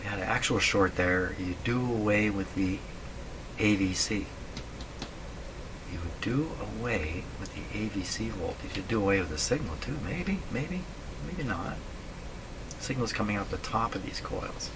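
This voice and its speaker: A man thinking aloud in a casual, halting way as he explains a repair at his workbench, often putting questions to himself. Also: on the low side. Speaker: radio repair hobbyist, host. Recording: clearly noisy room recording.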